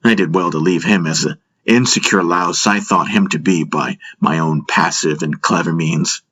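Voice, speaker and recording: A man narrating a first-person fantasy audiobook in a measured, dramatic storytelling style. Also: deep voice, fairly bright tone.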